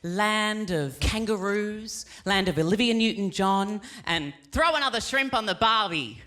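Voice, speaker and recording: A man's voice: high voice, expressive, unhurried, pushed and bright. A drag queen comedian with an Australian accent doing stand-up on a theater stage into a handheld mic. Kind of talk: stand-up comedy with a sung number. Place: theater stage with live audience.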